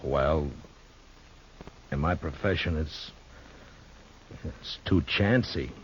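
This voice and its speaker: An actor playing a stoic, plain-spoken frontier marshal in a radio western, laconic and sometimes halting. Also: male voice, a bass voice, slight background noise. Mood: awkward, gentle. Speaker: lead actor and narrator. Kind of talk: 1950s radio western acting. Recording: dull old radio recording with music.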